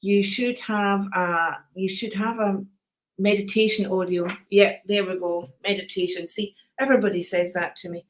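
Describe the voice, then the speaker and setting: An older woman with a Scottish accent, hosting a live stream of card readings and chatting warmly to viewers, calling them darling and sweetheart in a calm, soothing voice. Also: mid-range voice, moderate pace.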